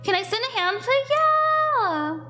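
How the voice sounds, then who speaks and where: High-voiced bubbly young streamer woman. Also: very animated, slow pace, pushed and bright, faint music behind. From southern United States. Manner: chatty, bubbly, laughs often. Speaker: palm reading streamer. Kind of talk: live-stream palm reading and chat.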